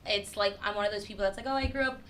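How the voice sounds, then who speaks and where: A woman's voice: mid-range voice, fairly even intonation, very fast, a loud crowd. A woman in her twenties from New Hampshire, a guest chatting casually on a podcast, with lots of "like" fillers. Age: 20s. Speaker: guest, creative director.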